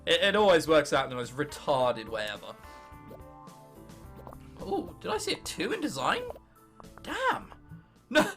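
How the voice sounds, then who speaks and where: A teenage man from England with an English accent, commentating on gameplay and thinking aloud in an excitable, sweary, self-mocking way. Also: on the high side. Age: late teens. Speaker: gaming video host.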